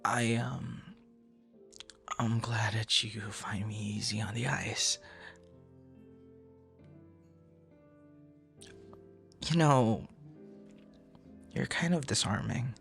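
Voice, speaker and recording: Male actor as smitten, awkward young guy for ASMR romance roleplay: slow, halting delivery, gravelly creak, shy and flustered. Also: fairly low pitch, fairly bright tone, faint music behind, heavily acted. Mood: bashful, flattered. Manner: stammering, bashful, awkward, breathy laughs and sighs. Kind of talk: ASMR boyfriend roleplay acting.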